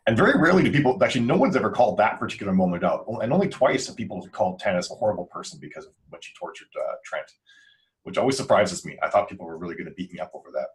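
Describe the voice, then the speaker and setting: A man's voice: lowish voice, sing-song, rapid-fire, rough recording. A man speaking in casual podcast chat as a writer and member of the show's crew.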